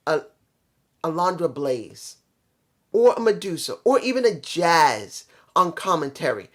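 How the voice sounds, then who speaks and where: A slightly hoarse vlogger giving a casual, opinionated wrestling review, conversational and repeating points for emphasis. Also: medium pitch.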